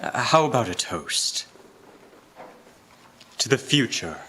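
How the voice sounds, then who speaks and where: A man playing a gentle, doting, poor Victorian father in a live radio play, with a tender, halting delivery. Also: medium pitch, sing-song, heavily acted. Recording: noisy live stage recording with clicks.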